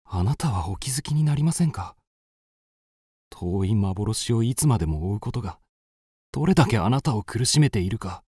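Man acting a mellow, husky, earnest suitor. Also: lowish voice, animated, normal speed, no room sound, clean studio sound. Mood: earnest urging. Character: earnest, courteous Japanese man, a romantic suitor. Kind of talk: radio drama acting.

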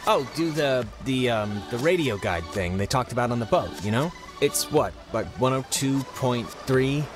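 Laid-back, slightly awkward American teenage boy for a video game, played by a man; mid-range, hesitant, loud music behind. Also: mid-range voice, lively intonation, normal speed, lightly acted. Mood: curious, uneasy. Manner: hesitant, apologetic, casual. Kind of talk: naturalistic video game acting.

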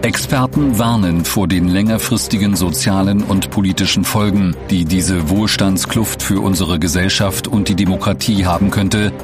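An actor playing a grave, measured documentary narrator reading a script. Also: male voice, sits low, natural voice. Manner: serious, measured. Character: sober, grave male documentary-style narrator.

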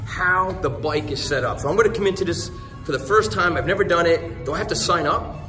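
A man with a somewhat high voice talks in an eager, salesy way as he walks through a cycling studio. Music plays clearly underneath. He keeps an upbeat promotional tone. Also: a little fast, pushed and bright. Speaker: gym promoter interviewing the instructor. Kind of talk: enthusiastic promotional walkthrough. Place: large gym cycling studio, indoors. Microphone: handheld phone camera. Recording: gym room with music behind. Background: music playing.

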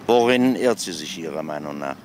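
Low-voiced, courteous police inspector. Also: male voice, animated, a little hiss or hum. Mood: friendly, casual. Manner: polite, measured questioning. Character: calm, courteous, probing male police inspector.

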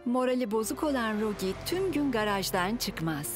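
A woman's voice: fairly high pitch, expressive, moderate pace, over loud music, natural voice. A woman narrating a scripted children's cartoon as its storyteller. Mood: storytelling.

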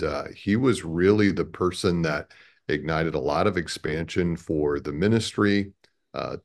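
A man in his fifties from Chicago with an American accent, speaking as a guest in a conversational interview. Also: low-pitched. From Chicago, American Midwest. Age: 50s. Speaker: radio network president, interview guest. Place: indoor room, on a video call.

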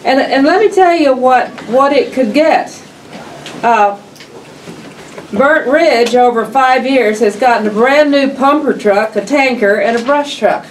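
An older woman speaks up at a county meeting, loud and plainspoken as she reads out dollar figures. She sits a little back from the table microphone, so her voice comes across from a distance. A faint hum of room noise runs underneath. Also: sits high, unhurried. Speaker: justice of the peace. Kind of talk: public meeting remarks. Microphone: table microphone, camera across the room.

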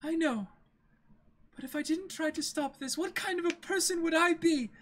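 A man voicing a gentle, anxious young rich girl, pleading in an amateur dramatic manga reading. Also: extremely high pitch, moderate pace, voice pushed up. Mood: anguished.

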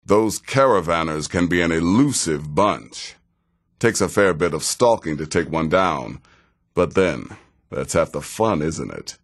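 A man playing a wry, sardonic middle-aged assassin in a fantasy video game, speaking in a dry, measured, sarcastic way. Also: sits low, animated, heavily acted. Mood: wry, advisory. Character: wry, sardonic veteran male assassin handing out contracts.

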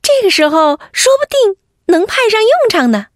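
Female children's storyteller, high-pitched, animated narration with wide swings, dry close recording. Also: normal speed, no room sound. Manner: animated, addresses children directly. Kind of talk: expressive bedtime story narration.

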